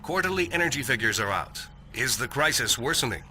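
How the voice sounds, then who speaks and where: Bright, punchy male radio news anchor. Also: medium pitch, lively intonation, brisk, soft background music. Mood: polished, brisk. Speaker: radio host. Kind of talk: scripted radio news broadcast intro.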